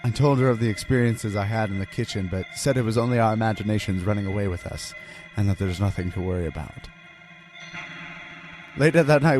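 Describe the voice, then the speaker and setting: A man playing a haunted husband and father, narrating a first-person horror story in an ominous, earnest and theatrical manner. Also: medium pitch. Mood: uneasy recollection, then panicked. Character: haunted husband and father confessing a terrifying ordeal. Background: background music.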